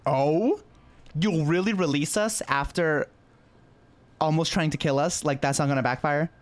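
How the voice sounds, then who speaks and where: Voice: medium pitch, a little slow. A streamer giving casual, playful, reactive commentary while playing a game.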